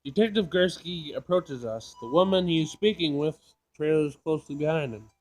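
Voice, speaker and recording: A man doing casual playthrough commentary, reading game text aloud, jokey and thinking out loud as he repeats himself. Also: fairly high pitch, normal speed, faint music behind, poor audio. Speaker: gaming video host.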